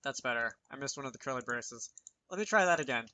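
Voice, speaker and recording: A man's voice: medium pitch, animated, quick pace, pushed and bright. A man presenting an informal programming tutorial, thinking aloud with self-deprecating asides as he explains. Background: keyboard typing and mouse clicks.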